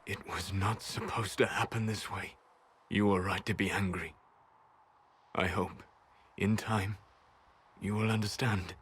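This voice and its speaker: Husky, sorrowful elf mage. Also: male voice, fairly low pitch, fairly even intonation, measured pace, pauses often, fairly bright tone, exaggerated voice. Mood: regretful. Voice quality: husky, breathy. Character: sorrowful, gentle male elf mage, regretful. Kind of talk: video game voice acting.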